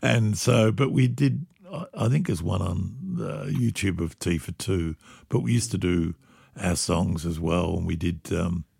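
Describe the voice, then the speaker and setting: An older man with a gravelly, husky voice, telling lively stories about his band days and laughing often. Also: deep voice, a little slow, no echo, studio quality. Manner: animated storyteller, laughs often. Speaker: musician guest. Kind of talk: reminiscing interview chat.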